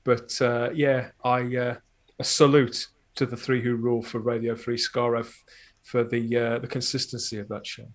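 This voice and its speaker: Chatty male podcast guest, mid pitch. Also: level tone, normal speed. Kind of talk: casual podcast discussion.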